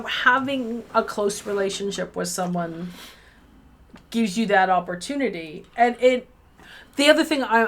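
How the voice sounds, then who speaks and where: A woman co-hosting a casual livestream conversation over a video call, speaking in an animated, emphatic and bluntly joking manner. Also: medium pitch. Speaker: co-host and editor.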